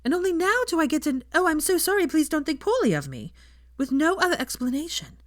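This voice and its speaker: A female narrator puts on a fiery young woman who is easily outraged. The delivery is high, sharp and very lively, full of sarcasm and swearing, in a dry storybook recording. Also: a little fast, dry recording.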